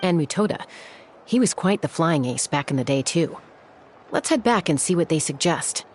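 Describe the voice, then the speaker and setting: A woman playing a composed, confident young flying expert in a video game, speaking in a measured way. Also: deep voice, expressive, background noise. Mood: calm, confident. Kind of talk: video game character dialogue.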